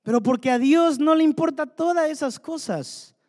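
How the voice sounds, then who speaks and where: A man's voice: very high voice, moderate pace, darkish tone. A man preaching a sermon, fervently asking the congregation rhetorical questions. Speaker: pastor. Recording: long hall reverb.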